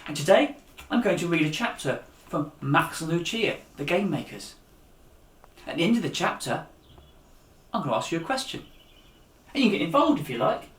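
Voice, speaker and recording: Man, children's storyteller, animated reading, echoey room sound. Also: fairly high pitch, normal speed, long pauses. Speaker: author and storyteller. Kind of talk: story reading aloud for young listeners.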